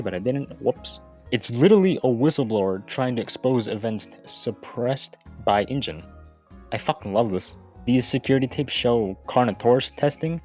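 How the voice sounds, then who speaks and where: A man's voice: medium pitch, a little mellow. Casual, wry man narrating over music. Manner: informal, profane, wry asides. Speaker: YouTube video essayist. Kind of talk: casual scripted video-essay narration. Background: background music.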